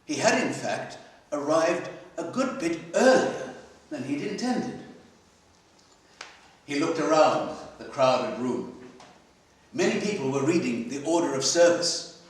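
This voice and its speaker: A man gives a live, expressive reading of literary fiction, measured and deliberately paused, in the manner of a practised storyteller. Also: on the high side, recorded from a distance. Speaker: story reader at a literary festival. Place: library hall, live festival reading. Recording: very echoey hall, picked up from afar.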